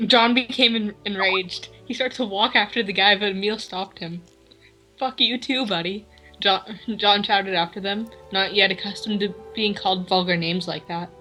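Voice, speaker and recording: A woman's voice: medium pitch, normal speed, sharp tone, rough recording. A woman reading a story aloud with friends, with small restarts and the odd laugh. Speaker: reader in a group fan fiction reading. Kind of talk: casual dramatic reading. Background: faint classical music.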